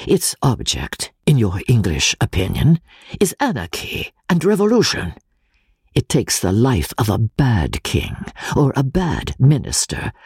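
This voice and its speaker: Audiobook role: intense Italian political refugee performed by a man, solemn and oratorical, heavily breathy-rough voice, close dry sound. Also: medium pitch, a little mellow, no room sound, exaggerated voice. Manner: grave, impassioned, oratorical. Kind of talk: dramatic audiobook reading.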